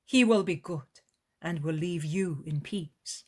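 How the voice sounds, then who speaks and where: Grave, kindly male teacher, measured and gentle, slightly husky as a woman reader voices him. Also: fairly low pitch. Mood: soothing.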